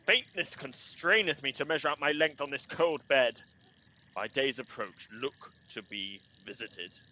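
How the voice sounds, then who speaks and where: A man's voice: mid-range voice, a little slow, pushed and bright, dull audio. A man acting a hot-headed young lover in a Shakespearean radio play, throwing out declamatory challenges to a rival. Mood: faint, weary.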